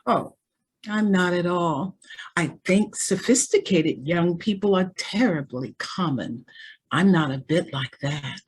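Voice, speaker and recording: A woman's voice: on the low side, lively intonation, slow, slightly rough, full character voice. An actress playing a naive, self-assured and sheltered teenage rich girl in an old-time radio drama, speaking with prim artlessness.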